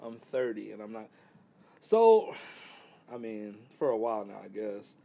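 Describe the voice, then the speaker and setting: A man's voice: lowish voice, big pitch swings, unhurried, creaky, dark tone. A man in his thirties chatting casually about dating, laid-back and rambling with lots of fillers. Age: 30s. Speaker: guest.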